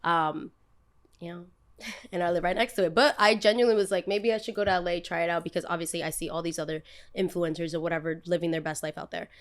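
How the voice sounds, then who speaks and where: A young woman with a New Jersey accent hosting a podcast, telling stories in an animated, emphatic way with quick asides. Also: on the low side. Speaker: podcast host. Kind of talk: casual chat.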